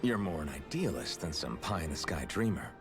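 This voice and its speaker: A man's voice: low-pitched, very animated, moderate pace. An actor playing a friendly, attentive young male adventurer in a video game, in a conversational manner. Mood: curious, friendly. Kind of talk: scripted video game dialogue. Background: game music.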